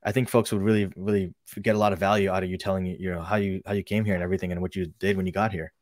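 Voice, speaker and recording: A male podcast host talks quickly and eagerly as he welcomes and prompts his guest. His voice sits fairly low and sounds dry and close. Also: flat delivery, dry recording. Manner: enthusiastic, praising. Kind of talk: interview hosting.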